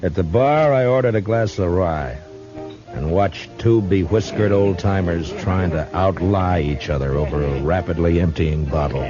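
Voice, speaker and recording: An actor playing a calm, dry-witted, authoritative American frontier marshal in an old-time western radio drama. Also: male voice, low-pitched, normal speed, over music, lightly acted. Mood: wry, narrating. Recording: muffled old radio recording.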